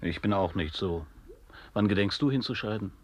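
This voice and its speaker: A man's voice: lowish voice, moderate pace, lightly acted. An actor playing an uneasy, evasive, grumbling man in a radio play, with dry, terse, irritable delivery. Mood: dry, pressing. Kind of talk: absurdist German radio play acting.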